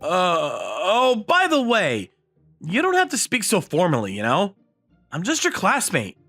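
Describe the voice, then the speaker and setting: Man acting an excitable high-pitched teenage boy. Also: unhurried, faint music behind, heavily acted. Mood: awkward. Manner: exasperated, dramatic outbursts and glum inner monologue. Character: excitable, self-pitying teenage high school boy, envious and girl-shy. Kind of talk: comic anime-style dub acting with narration.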